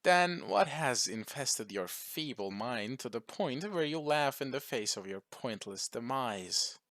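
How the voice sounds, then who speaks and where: A man's voice: medium pitch, lively intonation, unhurried, a bit bright, no room sound, clean studio sound. A man voicing a cruel, haughty alien conqueror in an audio story, with mock courtesy and menace. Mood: impatient contempt. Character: arrogant sadistic alien war champion, cruel conqueror.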